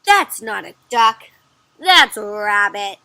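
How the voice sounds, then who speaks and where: A woman's voice: mid-range voice, sing-song, slow pace, pushed and bright, no room sound, exaggerated voice. A woman voicing a stubborn, contrary picture-book character who draws out words emphatically for young children. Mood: stubborn, incredulous. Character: stubborn contrarian person sure they see a rabbit.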